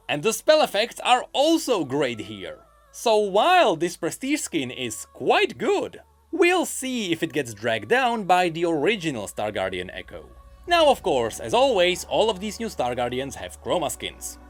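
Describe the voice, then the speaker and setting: A man hosting a gaming news video, giving scripted, opinionated commentary in a measured, explaining way. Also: sits high.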